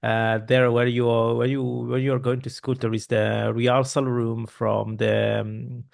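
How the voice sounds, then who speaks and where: A man's voice: mid-range voice, flat delivery, measured pace, gravelly. A man, hosting a podcast interview in a loose, rambling way with many restarts and fillers. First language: Italian. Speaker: podcast host. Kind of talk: casual interview chat. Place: home room. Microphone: boom mic with headphones, video call.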